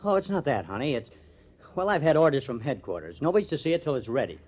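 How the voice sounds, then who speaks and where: Cocky sardonic newspaper artist, highish voice, really animated, old radio drama, muffled recording. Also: male voice, fast talker, slightly rough. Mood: harried, defensive. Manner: sardonic, teasing. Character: wisecracking, cocky young male newspaper artist. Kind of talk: old-time radio crime drama acting.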